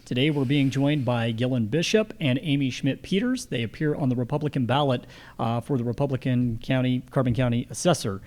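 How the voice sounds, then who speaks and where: A man's voice: mid-range voice, fairly quick, a little mellow. An American man speaking as a radio host and moderator at a live candidate forum.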